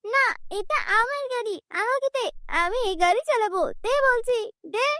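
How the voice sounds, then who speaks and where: A bossy little brat of a boy from a cartoon, acted out by a woman. He sounds extremely high and childlike, pushy and insistent. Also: moderate pace, pushed and bright, no room sound, clean studio sound. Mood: demanding.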